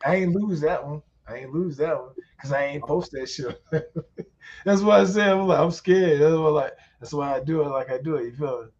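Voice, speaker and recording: A man's voice: on the high side, moderate pace, darkish tone, muffled recording. A man hosting a casual online voice chat, slangy and loose, swearing often and laughing a lot. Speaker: host. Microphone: online call mic.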